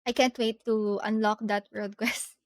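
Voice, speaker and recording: A woman's voice: medium pitch, flat delivery, unhurried. A woman streamer thinking aloud in a casual, giggly way as she plays a video game live.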